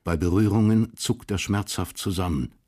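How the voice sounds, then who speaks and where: A man narrating a radio crime drama in measured, sober, literary German prose style. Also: low voice, dark tone.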